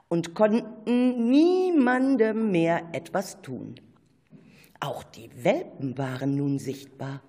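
A woman narrating a children's radio play in a dramatic, deliberate storytelling manner, with a reverberant sound. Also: low voice, a little mellow, slightly rough.

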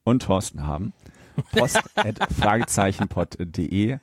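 A man bantering casually as a podcast co-host, informal and quick to jump in with questions. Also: on the low side, huge pitch swings, no room sound, clean studio sound.

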